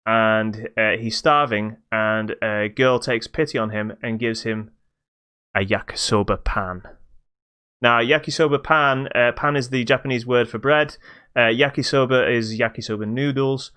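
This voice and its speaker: A man's voice: medium pitch, a little slow, sharp tone, clear, resonant tone. A man co-hosting a podcast, casually describing and judging games with an opinionated storytelling manner. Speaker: podcast co-host. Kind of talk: casual chat, game review.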